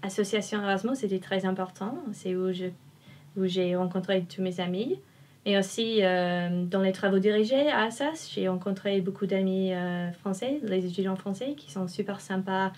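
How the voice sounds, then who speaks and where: A woman's voice: mid-range voice, normal speed, darkish tone. A young woman from Australia, an exchange student speaking with enthusiasm but hesitating in a second language. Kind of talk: testimonial interview.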